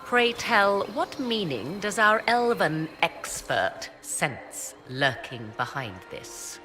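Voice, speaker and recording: A woman's voice: lowish voice, very animated, slow, bright, forceful tone, faint music behind, heavily acted. An actress playing a proud, sardonic woman mage in a fantasy game, speaking formal archaic English with a haughty air. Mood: challenging.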